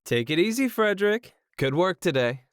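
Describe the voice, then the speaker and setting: A man playing a calm, kindly young prince and supportive brother in a fandub drama. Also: high-pitched, normal speed, clear, resonant tone, no room sound, studio quality. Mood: caring. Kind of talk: fandub character acting.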